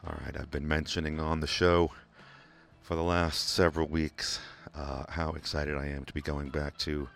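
A man with a Kentucky accent hosting a community radio music show from a studio, talking in a relaxed, rambling way with many "uh" fillers and restarts. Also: very deep voice, moderate pace, creaky. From England. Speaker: radio DJ host. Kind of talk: casual radio DJ talk. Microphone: studio microphone.

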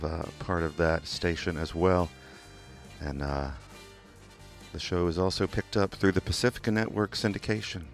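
A very deep-voiced man with a Kentucky accent hosts a community radio show from the studio. He talks in a loose, rambling way, full of "uh"s and restarts, like he is chatting between records. Faint music sits low behind his voice. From England. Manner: relaxed, rambling, many "uh" fillers and restarts. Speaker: radio DJ host. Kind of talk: casual radio DJ talk. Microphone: studio microphone.

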